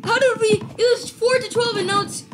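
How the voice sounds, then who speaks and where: A young woman chatting excitedly while playing an online game, full of "like" and "dude" and quick reactions. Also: extremely high pitch, very noisy. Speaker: gamer. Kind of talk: casual gameplay chat. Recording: game music behind.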